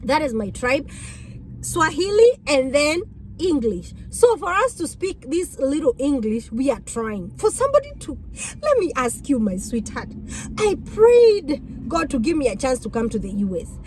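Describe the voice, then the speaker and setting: A woman with a Kenyan accent, whose first language is Kikuyu, telling stories about being a rideshare driver in an animated, exclamatory way. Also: high voice, a little hiss or hum, rough recording. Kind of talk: casual storytelling vlog.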